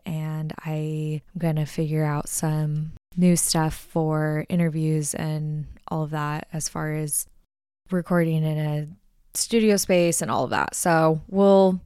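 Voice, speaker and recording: A woman hosting her own podcast, talking casually and rambling from one thought to the next with plenty of filler words. Her voice sits a little low and comes through smooth and clean, with a slight allergy-stuffed nasal edge. The close, dry recording keeps every word upfront. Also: darkish tone, dry recording. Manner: chatty, rambling, frequent "like" fillers. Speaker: podcast host. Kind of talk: casual solo podcast chat.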